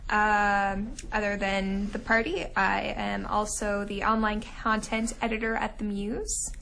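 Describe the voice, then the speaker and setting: A woman's voice: mid-range voice, flat delivery, a little slow, gravelly, a bit bright, a little hiss or hum, poor audio. A young woman, a radio show co-host, speaking casually in a studio interview, hedging with "kinda" and "I guess". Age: young adult.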